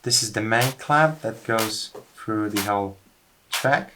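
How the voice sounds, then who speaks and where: A man's voice: fairly low pitch, slow pace, noisy background. A man music producer casually walking through how a track was made. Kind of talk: casual studio walkthrough.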